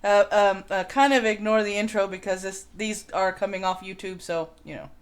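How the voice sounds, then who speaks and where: A woman hosts in a casual, conversational way, with a medium-pitched voice. She moves between loose chat and reading out notes. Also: fairly even intonation, normal speed. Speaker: host of a riffing show.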